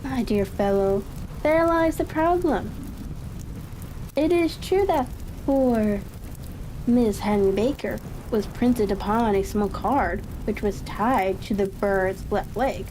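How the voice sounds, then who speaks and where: A woman giving a calm ASMR reading of a classic story, with halting, unhurried phrasing and a soft crackling ambience behind her. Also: mid-range voice. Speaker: audiobook reader. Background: fireplace crackle and ambient noise.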